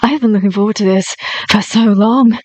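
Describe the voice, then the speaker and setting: A woman's voice: medium pitch, brisk, a little mellow, dry recording. Chatty woman hosting a reaction video. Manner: chatty, eager and apologetic. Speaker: reaction channel host. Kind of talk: casual reaction commentary.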